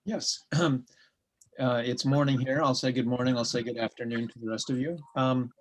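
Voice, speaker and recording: A man from the United States introducing himself as a documentary producer, measured and a little halting. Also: mid-range voice, little pitch movement. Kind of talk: formal self-introduction.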